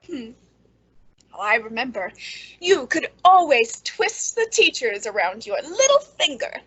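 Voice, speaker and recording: Actress as bitter, brooding woman, high-pitched voice. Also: sing-song, unhurried, pushed and bright, husky, heavily acted, rough recording. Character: bitter, clever, quietly menacing woman, narrator of the tale. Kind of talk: old-time radio suspense acting.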